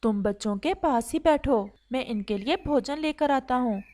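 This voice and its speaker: Lady doing a nice papa sparrow in a cartoon, calm n earnest, kinda high voice. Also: normal speed. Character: kindly, agreeable husband sparrow, adoptive father. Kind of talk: Hindi cartoon story acting.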